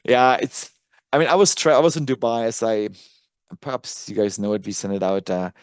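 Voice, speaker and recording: A man's voice: medium pitch, animated, fairly quick, clear, resonant tone, dry recording. A native German-speaking man presenting casual live stock chart commentary, conversational and thinking aloud as he works. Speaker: trading analyst and presenter.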